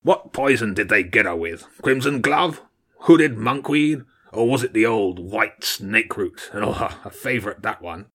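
A man's voice: lowish voice, expressive, measured pace, fairly bright tone, husky, full character voice. A man voicing a stern, mocking old badger king in an audiobook story, with a blunt, commanding manner. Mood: brisk questioning. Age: older. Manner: blunt, scornful, commanding, short barked phrases.

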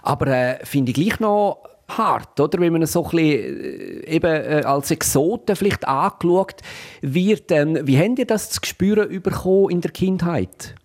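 A man's voice: on the high side, lively intonation, normal speed. A man with a Swiss German accent interviewing someone, summarizing her answers and asking follow-up questions with hesitant fillers. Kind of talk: conversational interview.

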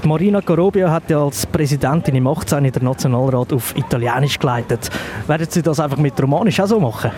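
A man's voice: a little high, fast, over music. A man with a Swiss accent, a reporter asking matter-of-fact interview questions. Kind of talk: news interview. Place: train carriage. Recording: noisy train interview.

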